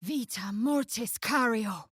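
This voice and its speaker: Husky, haughty alien warrior woman. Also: a bit bright, no echo, studio quality, full character voice. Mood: fierce casting cry. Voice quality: raspy, husky, breathy when low. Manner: clipped, haughty, deliberate, stern. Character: proud, fierce young female alien githyanki warrior. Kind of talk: video game character acting.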